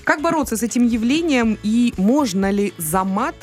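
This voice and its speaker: A woman co-hosting a morning radio show, lively and chatty as she tells stories in the studio. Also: on the high side, normal speed, soft background music. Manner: lively, storytelling. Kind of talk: casual radio banter.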